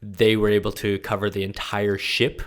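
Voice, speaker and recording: Low-voiced man hosting a podcast. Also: clear, resonant tone. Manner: relaxed, lots of quick agreeing. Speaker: podcast host, stunt coordinator. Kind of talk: casual interview chat.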